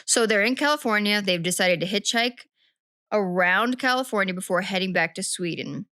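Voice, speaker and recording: A woman's voice: medium pitch, moderate pace, pushed and bright, dry recording. Chatty woman podcast co-host, dry sound. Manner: chatty, rambling, self-correcting. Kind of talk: casual true-crime podcast chat.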